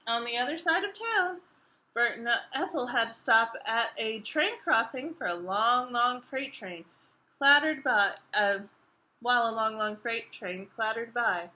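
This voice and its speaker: Female children's storyteller, high bright voice, expressive picture-book read-aloud for little ones, engages listeners with questions. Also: unhurried. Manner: expressive, asks the young listeners questions as she reads. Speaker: children's librarian reading a storytime.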